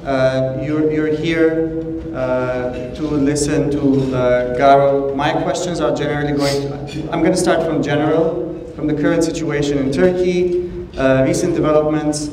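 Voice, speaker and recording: Halting male moderator in an echoey hall. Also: on the high side, steady tone, darkish tone. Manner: halting, measured, pausing mid-sentence. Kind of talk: moderating a public forum, unscripted. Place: large hall stage, seated at table. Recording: echoey hall, distant, faint noise.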